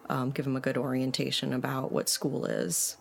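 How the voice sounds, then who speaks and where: A woman from Puerto Rico answering interview questions as a guest psychologist in a measured, explanatory way. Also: a bass voice. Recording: clear steady background noise.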